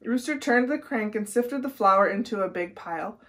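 A woman's voice: fairly high pitch, expressive, moderate pace, a bit bright. A young American woman reading a picture book aloud to children. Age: young adult. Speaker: nutrition educator reading to children.

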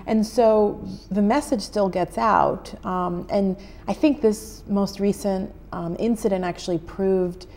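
An American woman in her thirties, a journalist answering interview questions in a TV studio, thoughtful with frequent pauses, on a lapel mic. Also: mid-range voice, fairly even intonation, a little mellow. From United States. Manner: thoughtful, many "um" pauses, gestures while talking. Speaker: journalist guest. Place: TV studio set.

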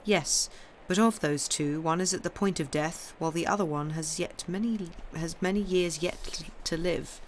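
A man's voice: fairly high pitch, normal speed, hardly any pauses, slight background noise, natural voice, rough recording. A man voicing a cold, worldly Count in an audiobook, declaiming with emphatic scorn for mankind. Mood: cold, assured.

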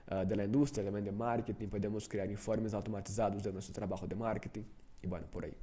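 A man's voice: on the low side, mellow. Man leading a workshop. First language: Portuguese. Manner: rambling, self-correcting, frequent "¿no?" tags. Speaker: workshop instructor. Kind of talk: live online workshop lecture.

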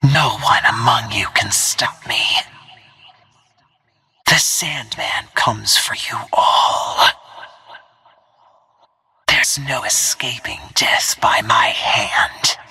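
An actress playing a stealthy, menacing female assassin in a video game, speaking in a hushed, husky voice with cold confidence and slow, deliberate delivery. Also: very deep voice, in a whisper, full character voice. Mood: quietly menacing. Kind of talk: video game combat voice lines.

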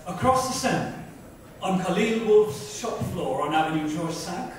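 A woman's voice: fairly low pitch, lively intonation, a little slow. Very echoey, theatrical woman reading from afar. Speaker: reader in a dramatic book reading. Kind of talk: dramatic literary reading. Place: club lounge, indoors. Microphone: far microphone. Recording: distant mic, very echoey room, faint noise.

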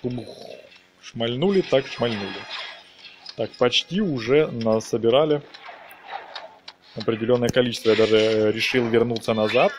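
A man's voice: medium pitch, moderate pace, pauses often, background noise. A man giving casual gameplay commentary, talking to viewers and dropping in short interjections. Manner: talks to viewers, exclaims in interjections. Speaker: gaming video narrator. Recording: music behind the voice. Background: game music.

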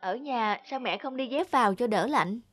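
A woman voicing a devoted, earnest teenage student from a poor family in an animated moral tale, polite and youthful in manner. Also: fairly high pitch, moderate pace. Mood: concerned.

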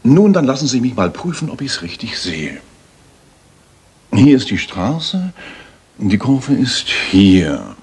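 An actor playing a brisk, self-assured master detective in a German radio drama, with precise, probing questions and a husky voice. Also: male voice, medium pitch, very animated, a little mellow, exaggerated voice. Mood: probing, attentive.

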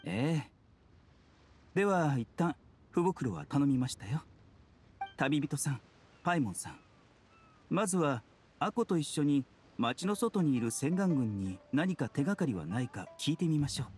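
A man playing a courteous, composed doctor in an anime game, speaking gently and formally. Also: on the high side, big pitch swings, a little slow, pauses often. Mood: calm. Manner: soft-spoken polite formal phrasing. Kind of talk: Japanese anime game voice acting.

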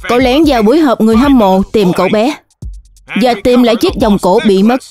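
High-voiced woman dubbing a cartoon. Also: fairly quick, dark tone. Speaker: dub voice artist. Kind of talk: scripted cartoon dubbing and narration. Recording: music under the voice.

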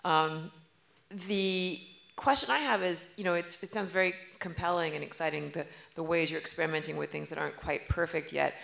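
Audience lady asking the panel stuff, bit of vocal fry, lots of "you know". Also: deep voice, fairly quick, fairly bright tone. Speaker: audience member asking a question.

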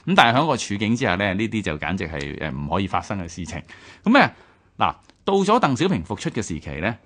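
A radio host, a man with a Hong Kong Cantonese accent, talks through history in a low-pitched voice. He explains point by point in a conversational way, peppering phrases with spoken particles. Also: big pitch swings, quick pace, fairly bright tone.

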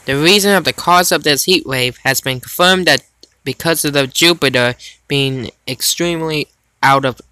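A man's voice: fairly high pitch, bright, forceful tone, smooth, no room sound. A man's robotic, stilted voice reading a formal scripted emergency broadcast announcement.

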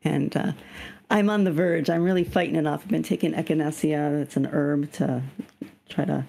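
A woman's voice: low voice, fairly even intonation, moderate pace, creaky, mellow. Slightly scratchy woman leading a video-call reading group. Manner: explains patiently, asks the group questions. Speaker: study group leader. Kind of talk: leading an online reading discussion.

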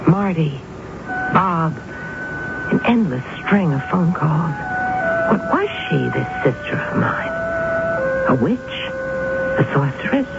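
Stern, creaky-voiced sister. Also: female voice, lowish voice, huge pitch swings, takes it slowly, long pauses, heavy background noise, exaggerated voice. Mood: brooding, distraught. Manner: clipped and reproachful, melodramatic in narration. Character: stern, brooding unmarried sister, haunted narrator of her own tale. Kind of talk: 1970s radio drama acting. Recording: muffled 1970s radio recording, loud music.

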